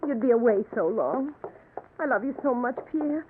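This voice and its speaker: A woman's voice: fairly high pitch, expressive, moderate pace, lightly acted. An actress playing a loving, anxious fisherman's wife with emotive 1940s radio drama delivery. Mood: pleading. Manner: emotive, pleading. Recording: noisy, phone-like 1940s radio recording.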